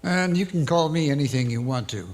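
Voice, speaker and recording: A man's voice: fairly high pitch, brisk, a little mellow, natural voice. A man playing a flippant, breezy executive's consort in a live radio play, wry and teasing. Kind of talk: live radio theatre acting.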